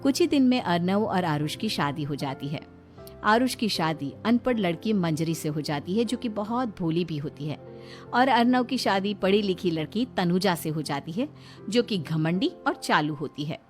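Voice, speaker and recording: A woman narrating an animated moral story in an expressive storytelling style. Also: lowish voice, normal speed, faint music behind.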